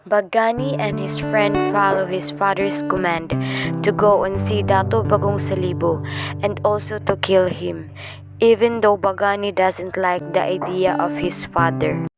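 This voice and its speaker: A woman's voice: medium pitch, fairly even intonation, a little slow. A woman reading the narration of an amateur radio drama in a plain, careful way. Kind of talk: read narration. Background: guitar music.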